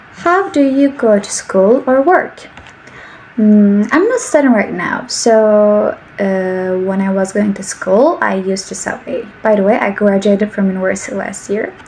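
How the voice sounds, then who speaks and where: A young woman with a Turkish accent, in her twenties, leads a slow English practice session. She talks in careful, simple sentences at a gentle, deliberate pace. Her voice sits a little high, and a faint background hum runs under the recording. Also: poor audio. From Turkey. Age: 20s. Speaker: English teacher.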